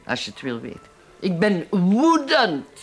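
Radio drama: prickly, boozy wife and mother, theatrical, sarcastic, very animated delivery. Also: on the low side, a little slow, husky, faint music behind, exaggerated voice. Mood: angry, defensive. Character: tipsy, prickly middle-aged wife and mother.

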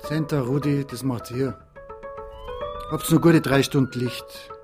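Blunt Bavarian detective, played by a man, medium pitch. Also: fairly even intonation, measured pace, music in the background, close to an everyday voice. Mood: matter-of-fact. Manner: plain-spoken, colloquial. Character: blunt practical male local police detective. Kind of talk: naturalistic radio drama acting.

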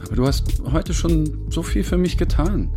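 A man's voice: a little high, lively intonation, normal speed, music in the background, lightly acted. An actor playing an earnest, weary male newcomer in a naturalistic radio drama. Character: Taha, weary, questioning male newcomer to Germany.

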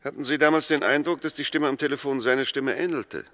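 A man's voice: medium pitch, fairly even intonation, quick pace, fairly bright tone, no echo, natural voice. A man acting an insistent, courteous but sceptical defence lawyer in a 1960s German radio drama, precise and argumentative. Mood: probing. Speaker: actor in a radio play.

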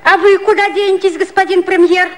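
An actress playing a fiery, sharp-tongued, scolding aide to a prime minister in a 1950s satirical radio play. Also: female voice, extremely high pitch, fairly even intonation, a little fast. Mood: biting. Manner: sharp-tongued, indignant, theatrical. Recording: noisy old radio play recording.

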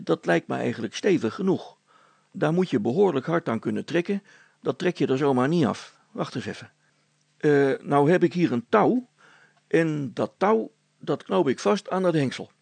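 A man narrator acts a practical father and tinkerer in a storybook. His voice is creaky and rough, recorded dry. He talks deliberately, organising people one step at a time. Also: on the high side, animated, darkish tone, no room sound, exaggerated voice. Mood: musing. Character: practical handyman father, calmly organising everyone.

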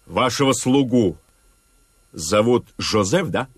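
Грозный офицер, интонации резко скачут. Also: male voice, mid-range voice, lively intonation, takes it slowly, slightly rough, no echo. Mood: veiled menace. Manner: curt, threatening, interrogating. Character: menacing, suspicious secret police officer following orders. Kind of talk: Soviet radio drama acting.

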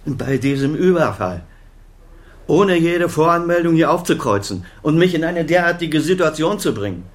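An older actor playing a cold, authoritative chief physician in a German radio crime drama, curt and reproachful. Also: male voice, a little high, expressive, moderate pace, lightly acted.

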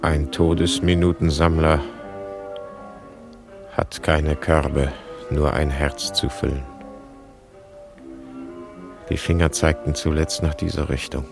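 Very deep, slow, haunted wanderer. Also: male voice, level tone, vocal fry, over music, heavily acted. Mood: solemn, brooding. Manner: solemn, halting. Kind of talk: poetic radio drama acting.

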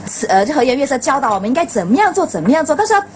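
Middle-aged lady, high animated voice, talks fast, chatting about old times w crowd behind. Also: a little hiss or hum. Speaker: veteran broadcaster on a panel. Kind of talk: casual reminiscing chat. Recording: live gathering with crowd murmur behind.

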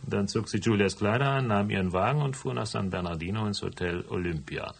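An actor playing a stubborn, hardboiled private detective in a German radio drama, dry, laconic and matter-of-fact. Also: male voice, lowish voice, a little fast, gravelly, slightly rough. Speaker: voice actor and first-person narrator.

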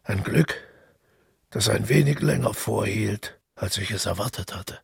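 Very husky, gravelly, solitary man. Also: lowish voice, measured pace, no room sound, clean studio sound, heavily acted. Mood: wistful, subdued. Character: solitary, weary male murderer, calmly unrepentant, recalling his deed. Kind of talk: literary radio drama monologue.

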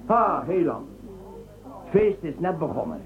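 Arrogant, bossy space emperor, tinny recording. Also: male voice, on the high side, expressive, a little slow, gravelly, far from the mic. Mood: scornful. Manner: imperious, scornful, commanding. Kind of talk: Dutch radio drama acting. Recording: thin band-limited radio recording, crowd behind.

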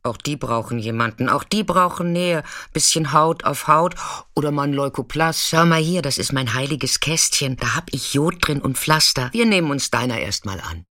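A woman's voice: sits low, animated, moderate pace, sharp tone, dry recording, clean studio sound. An actress playing a chatty, caring, streetwise female sex worker in an intimate, colloquial radio drama monologue. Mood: matter-of-fact caring.

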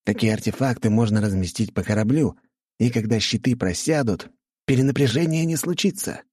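A man playing an excitable, obsessive artificer in an audiobook, with a strained, raspy and agitated delivery. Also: medium pitch, brisk, no room sound, clean studio sound, pitched above the performer's own voice, lightly acted. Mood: eager, excited. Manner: agitated, emphatic. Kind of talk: audiobook character acting.

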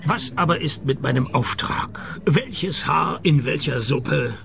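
An actor playing a wry, hard-drinking private detective in a radio play, dry and sardonic. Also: male voice, fairly high pitch, animated, slow pace, gravelly, a crowd in the background, heavily acted. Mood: deadpan, needling.

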